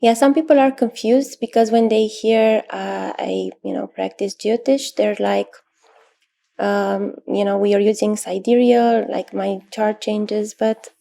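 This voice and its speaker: A woman in her thirties from Romania with a Romanian accent, an astrologer answering interview questions thoughtfully, with pauses and fillers. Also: medium pitch, fairly even intonation, creaky. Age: 30s. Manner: thoughtful, many pauses and fillers, soft laughs. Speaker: astrologer guest.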